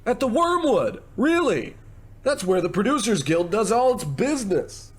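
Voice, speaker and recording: A man's voice: high-pitched, very animated. A man playing a slick, pushy, glib producer who sweet-talks like a salesman in an old-time radio comedy. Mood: brash, flippant. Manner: fast-patter salesman, gushing pet names.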